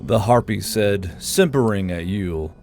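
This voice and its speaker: A man's voice: on the low side, expressive, a little slow, a little mellow. A man reading scripted fantasy fiction as an audiobook narrator. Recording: background music under the voice.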